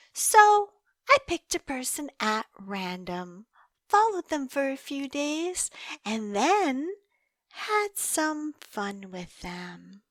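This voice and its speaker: An obsessive young yandere woman, acted by a female performer in an audio roleplay, speaking very slowly in a high, swooping voice. She coos and teases with sweet menace, dropping at times into whispers. The sound is close and dry. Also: pushed and bright, no echo, heavily acted. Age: young adult. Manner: cooing, teasing, slow and deliberate, breaking into whispers. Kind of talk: intimate scripted roleplay monologue.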